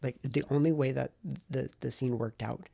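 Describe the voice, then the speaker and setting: A man talking casually to camera in a vlog, rambling and thinking aloud in a self-reflective way, with a gravelly, husky voice. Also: mid-range voice, steady tone, moderate pace, dark tone, dry recording. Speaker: writing vlogger and novelist.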